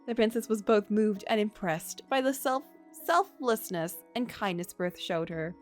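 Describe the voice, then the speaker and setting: Lively woman reading a story game aloud. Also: medium pitch, measured pace, soft background music. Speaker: game streamer. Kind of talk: reading a visual novel aloud with casual asides.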